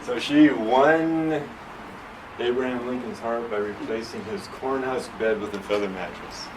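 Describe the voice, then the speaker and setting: A soft-spoken middle-aged man giving an informal classroom lecture, asking the room questions as he goes. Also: medium pitch, unhurried. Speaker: orthodontics lecturer. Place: lecture hall. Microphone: room mic from a distance. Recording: echoey, distant, noisy room recording.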